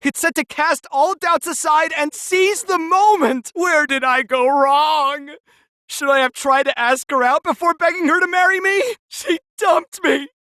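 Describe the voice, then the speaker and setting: A man's voice: extremely high pitch, measured pace, pushed and bright, no room sound, full character voice. A man playing a lovelorn, melodramatic jilted suitor in a video game. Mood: anguished.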